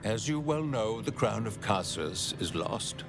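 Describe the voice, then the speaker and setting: A grovelling, lecherous servant of hell, low and gravelly, drawing out florid, courtly phrases. His delivery is theatrical and swoops with oily formality, as game music plays behind. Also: expressive. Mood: smooth, officious. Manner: fawning, florid, formal, drawn-out. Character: obsequious, lewd, broken-backed archivist of hell, male. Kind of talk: theatrical video game acting.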